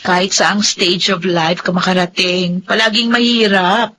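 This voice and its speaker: A woman playing a resilient, weary young student and daughter in an expressive Filipino radio drama, with a Filipino accent. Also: on the low side, a little slow, a little hiss or hum. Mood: lighthearted.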